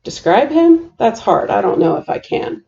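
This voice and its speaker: Gravelly-edged woman reading a children's story. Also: a little high, very animated, normal speed, mellow, lo-fi sound. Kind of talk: rhyming children's story read aloud.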